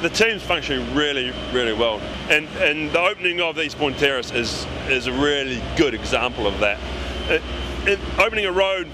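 Higher-pitched British man, noisy site. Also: lively intonation, normal speed. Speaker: construction project manager. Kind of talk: informal on-site project update to camera. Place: large underground station construction site. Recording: clear machinery and site noise behind.

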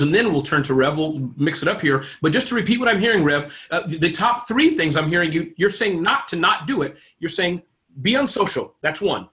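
A male host in his forties moderates a casual video-call interview. His voice sits high for a man and he talks at a brisk pace, playfully summarizing points. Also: fairly bright tone. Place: indoor room on a video call.

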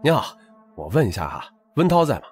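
A man's voice: medium pitch, big pitch swings, brisk, a little mellow, soft background music, close to an everyday voice. A man playing an anxious, polite and insistent young caller in an audiobook drama. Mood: politely urgent.

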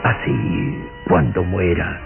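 An actor playing an elderly, impoverished duke and anxious father in a radio drama, solemn and deliberate. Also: low voice, creaky, music in the background, heavily acted. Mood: weary, grateful. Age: older. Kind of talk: old radio drama acting. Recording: dull lo-fi old radio recording.

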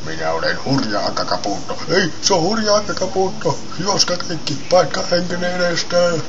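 A man voicing a panicked, shouting dog in an amateur cartoon fan dub, loud and frantic. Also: high-pitched, animated, voice pushed up. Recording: muffled lo-fi home recording, loud television noise.

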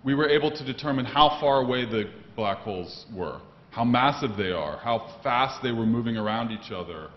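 A man's voice: medium pitch, animated, normal speed, hardly any pauses. A man on a panel in a lecture hall, talking eagerly with many stumbles and restarts. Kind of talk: panel discussion answer. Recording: muffled roomy hall recording with faint noise.

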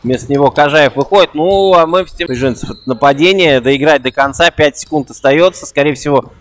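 A young man giving quick, excitable play-by-play commentary on an amateur basketball game, repeating a catchphrase. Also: fairly high pitch. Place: indoor basketball gym.

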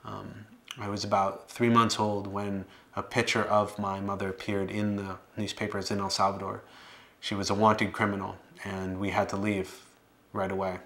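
Male, late 20s, American. Low, flat delivery, unpolished and plain-spoken, first-person documentary testimony. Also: moderate pace, vocal fry. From Boston area, United States. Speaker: documentary subject telling his life story. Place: living room, indoors.

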